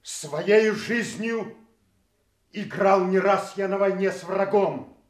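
A man's voice: sits high, takes it slowly. An actor playing a blunt, loyal and fearless nobleman in a theatrical audio drama, solemn, fervent and defiant. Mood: bold, pleading. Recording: roomy, echoing sound.

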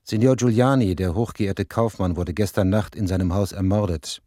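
A formal town clerk in a radio drama. His voice is on the low side. He delivers official messages in measured, respectful and ceremonious phrases. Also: mellow. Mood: officious. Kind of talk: German radio crime play acting.

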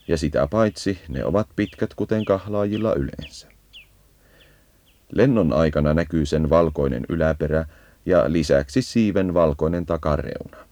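A man's voice: extremely low pitch, mellow, no echo. A man narrating a scripted educational birdsong guide, leading the listener as if on a field walk.

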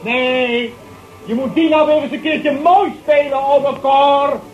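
A loud, nagging regular in a radio-play bar, calling out in a very high voice with drawn-out words. The sound is dull, with music in the background. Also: male voice, steady tone.

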